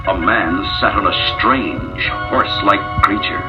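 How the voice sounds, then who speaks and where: A man's voice: on the low side, measured pace, muffled recording. A man narrating a scripted children's storybook as a dramatic storyteller. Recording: loud music behind.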